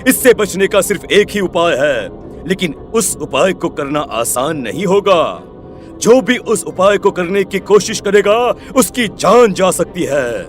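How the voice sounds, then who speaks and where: A man plays a grave tantric holy man in a horror audio tale. He speaks deliberately and ominously, with faint music behind. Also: high voice, expressive. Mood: grave warning. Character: grave, ominous male village tantric mystic.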